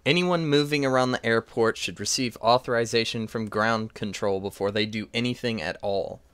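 A man's voice: mid-range voice, moderate pace, a bit bright, clean tone, dry recording. A young man reading a scripted lecture as a student presenter. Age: young adult.

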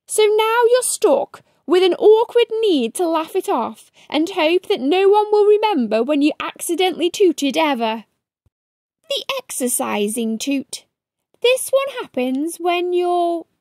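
A woman reading a storybook aloud to children, with theatrical, drawn-out emphasis on key words. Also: very high voice, a little mellow, no echo, studio quality. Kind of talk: expressive read-aloud narration for children.

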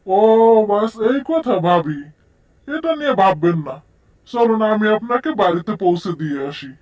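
Man acting a lively, high-voiced helpful neighbour. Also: normal speed, far from the mic, low-quality recording. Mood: courteous. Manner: polite, reassuring. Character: helpful, courteous young male neighbour. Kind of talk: cartoon dub acting.

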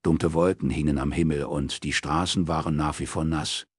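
A man's voice: extremely low pitch, fairly even intonation, moderate pace, husky, no room sound, studio quality. A man reading scripted crime fiction as an audiobook narrator.

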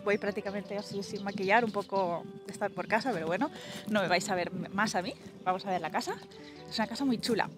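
A woman's voice: mid-range voice, quick pace, over music. A woman in her thirties, talking casually and rambling with many asides while showing a house to her selfie camera outdoors. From Spain. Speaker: vlogger and presenter. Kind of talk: casual vlog house tour. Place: outdoors in a country garden. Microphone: small camera mic held at arm's length.

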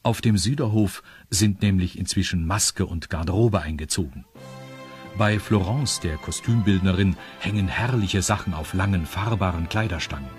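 A man's voice: sits low, normal speed, soft background music. A man with a standard German accent narrating a scripted children's audio story.

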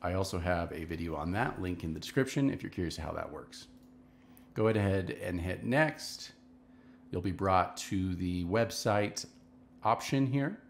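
A man's voice: low-pitched, lively intonation, a little slow, creaky, a little hiss or hum. A man in his thirties hosting a casual screen-share marketing tutorial, talking step by step in an instructional way from a small home office.

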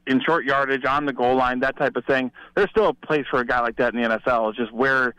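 A man's voice: mid-range voice, steady tone, fast, bright, forceful tone, clear, resonant tone, no echo. A man giving conversational football analysis as a reporter guest over a remote call. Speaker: sports reporter, show guest. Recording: slightly dull remote call audio.

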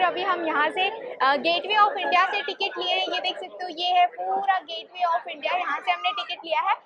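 A young woman filming a travel vlog outdoors on her phone, chatting upbeat as she explains each stop like a tour guide. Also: very high voice. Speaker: travel vlogger. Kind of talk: casual travel guide narration. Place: outdoors on a ferry and a busy island path. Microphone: phone camera held at arm's length. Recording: dull lo-fi phone audio with a crowd behind.

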